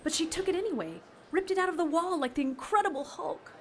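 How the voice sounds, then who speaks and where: A woman's voice: extremely high pitch, expressive, fast, a little hiss or hum. An actress playing a worried, earnest woman seeking help in an audio drama.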